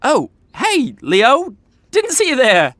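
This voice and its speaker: Bumbling prince, wildly swinging pitch. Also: male voice, huge pitch swings, slow, a bit bright, dry recording, clean studio sound, full character voice. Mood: flustered. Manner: casual, slangy, indignant. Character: bumbling, informal, easily confused young male prince. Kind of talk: modern romantic comedy audio drama acting.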